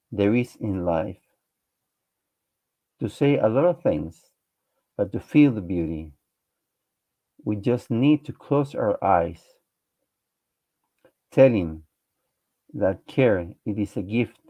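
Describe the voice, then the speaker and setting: A man from Chile reciting his poem slowly and earnestly as a guest poet. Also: on the low side, animated, warm tone. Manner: slow, earnest reading with pauses. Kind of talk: poetry recitation. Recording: dull lo-fi online call audio.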